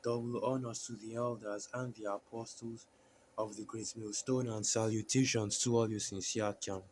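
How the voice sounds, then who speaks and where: Hoarse man preaching a sermon. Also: fairly low pitch, flat delivery, a little hiss or hum. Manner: halting, with many fillers and restarts. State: hoarse from a sore throat. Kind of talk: sermon with scripture reading.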